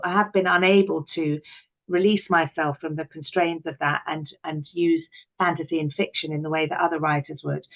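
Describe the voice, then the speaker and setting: A middle-aged woman with noticeable vocal fry, speaking as an interview guest. She is earnest and emphatic, working out her thoughts as she goes. The sound is slightly dull and lo-fi. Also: deep voice. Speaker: interview guest, writer and producer. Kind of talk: reflective interview chat.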